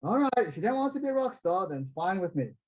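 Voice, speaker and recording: A secretive British rock star in hiding, urging in hurried, hushed tones, as a man puts him on while reading. His lively, raised pitch carries a slightly husky edge. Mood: coaxing.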